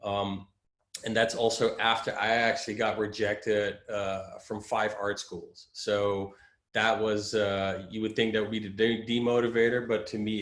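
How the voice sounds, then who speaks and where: A man from the Netherlands talks through his career in a loose, rambling way, full of ums and restarts. His voice sits fairly low. The video-call audio carries a noticeable room echo. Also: fairly bright tone. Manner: rambling, many fillers and restarts. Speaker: creative director. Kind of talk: casual interview storytelling.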